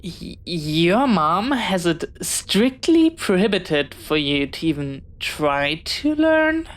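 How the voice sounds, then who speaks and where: A woman plays a young pirate captain's child in an audio roleplay, with a lowish voice and an unhurried pace. The character is bookish and eager to be friends, chatting in careful, well-spoken phrases with a touch of hesitance. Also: very animated. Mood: curious, friendly. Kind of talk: one-sided audio roleplay acting.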